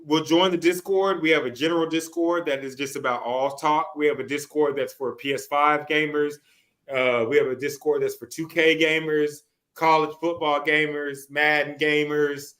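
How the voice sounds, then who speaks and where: Bright-voiced man hosting a sports podcast. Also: on the high side, fairly even intonation, moderate pace. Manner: slangy, profane. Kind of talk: casual sports chat.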